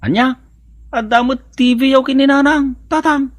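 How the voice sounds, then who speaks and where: A man's voice: very high voice, steady tone, moderate pace. A man with an Ilocano accent playing an inquisitive son in a village comedy drama, talking in a questioning, colloquial manner. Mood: puzzled, teasing.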